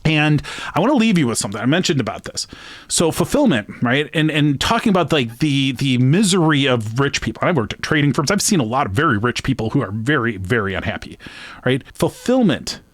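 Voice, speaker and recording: A man's voice: medium pitch, sing-song, a little fast, no room sound. Earnest, rambling man, dry close sound. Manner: rambling, earnest, conversational, swinging between emphatic and hushed; long-winded. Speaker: sports betting channel host. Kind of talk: reflective solo monologue to camera.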